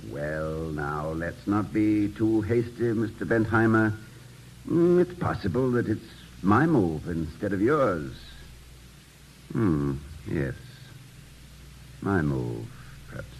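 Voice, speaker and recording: An actor playing a kindly, gently witty college president in early 1950s radio comedy-drama, polite and a little hesitant. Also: male voice, fairly low pitch, lively intonation, slow pace, a little hiss or hum, poor audio. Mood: musing, kindly.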